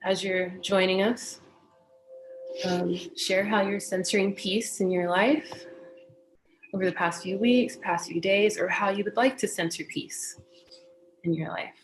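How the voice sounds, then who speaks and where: A woman from California with an American accent, talking gently and unhurriedly as she hosts a guided meditation session and speaks in spiritual phrases. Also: mid-range voice, steady tone, measured pace, pauses often, a little hiss or hum, rough recording. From California, United States. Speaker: meditation teacher and session host. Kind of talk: welcoming introduction to a guided meditation, personal talk.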